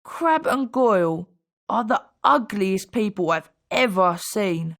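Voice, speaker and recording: A woman's voice: medium pitch, expressive, slow. A woman playing a gossipy young wizard school student, speaking conversationally in scripted video game lines. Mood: gossipy, annoyed.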